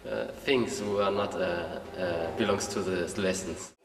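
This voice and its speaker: A man's voice: low-pitched, steady tone, measured pace, very noisy. A man speaking as an in an interview, hesitant and searching for words. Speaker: interviewee at a school. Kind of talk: interview answer. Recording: echoey hall, distant mic.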